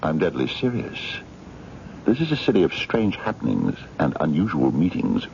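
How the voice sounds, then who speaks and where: A man's voice: deep voice, huge pitch swings, normal speed, vocal fry, a little mellow, exaggerated voice. An actor in a radio mystery drama playing an eccentric, courtly man of mystery, formal, hesitant and coaxing. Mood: ominous, insistent. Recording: dull, noisy 1970s radio drama recording.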